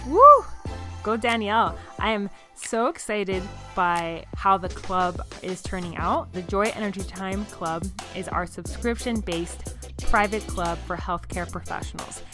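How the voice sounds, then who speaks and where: A woman hosting a podcast, reading guest intros and show promos in an upbeat, enthusiastic way. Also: fairly low pitch. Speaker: podcast host.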